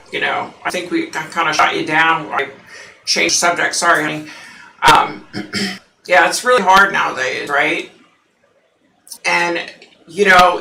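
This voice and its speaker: A woman's voice: fairly low pitch, bright, forceful tone. Scratchy-voiced woman chatting on a livestream. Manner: rambling, chatty, many fillers. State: scratchy, husky voice. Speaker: psychic livestream host. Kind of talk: casual live-stream chat with viewers. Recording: roomy, distant from the mic.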